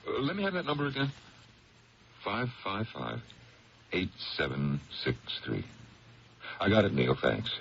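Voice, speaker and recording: A slightly noisy vintage radio drama recording of a weary American district attorney. His voice is extremely low and moves very freely in pitch as he hesitates and evades. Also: sing-song, creaky, fairly bright tone, heavily acted. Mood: guarded, tense. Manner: hesitant, halting. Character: troubled male district attorney, weary, evasive, guarded husband. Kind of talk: 1970s radio drama acting. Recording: dull old radio recording, faint noise.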